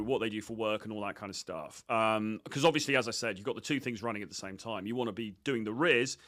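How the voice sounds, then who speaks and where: A man's voice: lowish voice, a bit bright, no echo, studio quality. A man giving informal coaching commentary on video clips, blunt, sweary and self-assured. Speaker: dating coach presenter.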